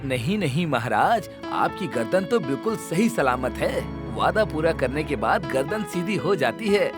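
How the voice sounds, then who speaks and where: Sly, teasing cartoon courtier, raised voice, music under it. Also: male voice, on the high side, sing-song, moderate pace. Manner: playful, teasing, persuasive. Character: witty clever male royal courtier. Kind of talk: animated storybook voice acting.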